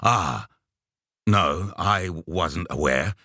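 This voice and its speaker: A man voicing a rough, hulking drinker in an audiobook, gruff, awkward and hesitant. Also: low voice, very slow, pushed and bright, no echo, studio quality, full character voice. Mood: awkward, pleading. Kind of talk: audiobook character voice.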